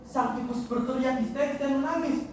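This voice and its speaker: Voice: high-pitched, steady tone, moderate pace. A contest storyteller narrating a tale in a theatrical, declamatory way. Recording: echoey hall, distant mic, noisy.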